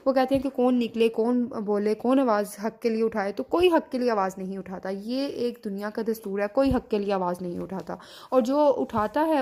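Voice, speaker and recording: Chatty Pakistani mom vlogging at home. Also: female voice, mid-range voice, a little mellow. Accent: Pakistani Urdu. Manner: chatty, scolds and coaxes children. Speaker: vlogger and mother. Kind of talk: casual home vlog. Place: home and restaurant.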